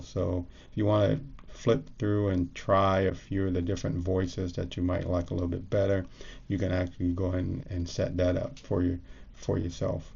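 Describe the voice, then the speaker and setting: Low, gravelly man giving a tutorial. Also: darkish tone, highs cut off. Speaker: tutorial presenter. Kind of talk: casual step-by-step screencast explanation.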